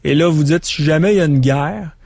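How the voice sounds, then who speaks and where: Radio host, Québécois man, gravelly and slightly high, pressing a caller. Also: brisk, a little mellow. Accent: Québécois French. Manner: pointed, challenging questions. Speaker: radio talk show host. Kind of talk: phone-in radio debate.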